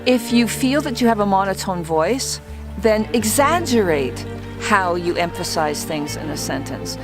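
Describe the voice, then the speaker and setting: A woman who once worked as a trial lawyer, coaching viewers on public speaking in a calm, deliberate and well-projected voice. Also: medium pitch, over music. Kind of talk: instructional talk to camera.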